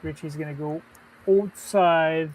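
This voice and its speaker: A man with a somewhat high voice co-hosts a casual live sports stream. He talks in a loose, rambling way, filling his speech with "like" and "you know". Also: fairly even intonation, slow pace, rough recording. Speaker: podcast co-host.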